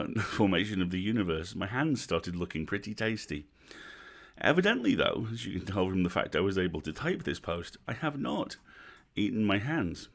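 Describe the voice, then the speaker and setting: A British man with a wry, dry delivery, hosting a stream and reading a written review aloud with casual asides. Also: lowish voice. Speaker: host of a livestream reading series.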